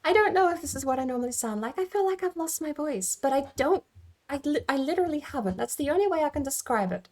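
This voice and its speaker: A woman's voice: on the high side, darkish tone. A woman giving casual livestream game commentary, rambling and thinking aloud as she plays. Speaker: game streamer.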